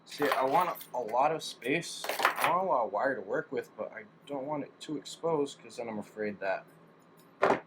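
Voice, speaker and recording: A man's voice: medium pitch, lively intonation, moderate pace. A man casually talking through hands-on DIY work as he goes, thinking aloud in an informal way. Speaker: DIY electronics hobbyist. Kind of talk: casual hands-on narration while working. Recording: clearly noisy hands-on workshop recording.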